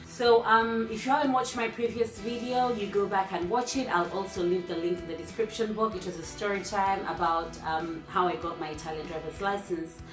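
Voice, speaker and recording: A native English-speaking woman talks straight to her viewers in a chatty, easygoing way, counting off her tips one by one. Her voice sits at a middling pitch and carries a roomy echo, with faint music playing softly underneath. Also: fairly even intonation, moderate pace, hardly any pauses. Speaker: vlogger hosting her own channel. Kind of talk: casual tips talk to viewers.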